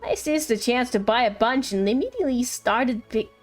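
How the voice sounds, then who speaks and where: A woman's voice: fairly high pitch, animated, moderate pace, faint music behind. A woman voicing a cheerful, friendly servant, eagerly telling a story from a game letter. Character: cheerful, friendly servant excited about a food discovery. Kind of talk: reading a game letter aloud in character.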